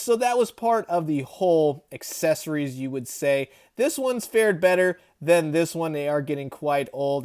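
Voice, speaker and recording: A man giving a chatty, animated review of vintage action figures with wry asides. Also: a little high. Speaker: toy collector reviewer. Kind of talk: casual toy review.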